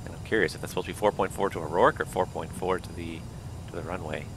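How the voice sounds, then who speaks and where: A deep-voiced man talks casually through a flight, reading out checklists and thinking aloud as he goes. His voice is wearing thin, and a steady engine rumble runs under him. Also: fast. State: losing his voice. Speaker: flight simulator pilot. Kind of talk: casual cockpit commentary.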